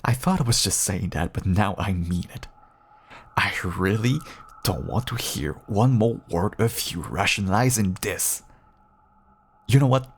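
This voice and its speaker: A man acts out a caged vampire lover in an intimate roleplay drama. His voice is husky and full of gravelly fry, and he draws his words out slowly. He coaxes and pleads seductively, with a bitter, sardonic edge. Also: fairly low pitch, lively intonation, exaggerated voice. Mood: fed up. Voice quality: husky, gravelly, breathy at times. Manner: slow, coaxing, with sardonic edges. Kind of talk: intimate roleplay audio acting, addressing a partner.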